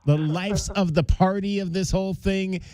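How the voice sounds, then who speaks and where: A man's voice: high voice, steady tone, moderate pace, dark tone, dry recording. A man hosting a sports talk radio show, interviewing a guest in a friendly way with many restarts. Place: radio studio. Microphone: studio mic.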